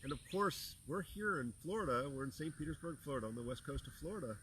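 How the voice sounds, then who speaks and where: A man's voice: a little high, animated, quick pace, a bit bright, slight background noise, poor audio. A man from the Bahamas, an herbal teacher, welcoming viewers in easygoing, folksy chat before an outdoor class. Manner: easygoing and folksy, with many fillers. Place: outdoors among plants, with birds and insects.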